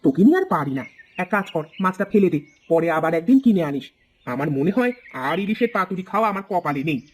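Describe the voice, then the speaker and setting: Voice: mid-range voice, very animated, fast talker. A performer playing an old mother in a cartoon, nagging her grown son in an insistent, coaxing, complaining way. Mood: dismayed, resigned. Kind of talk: cartoon voice acting. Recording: music bed behind.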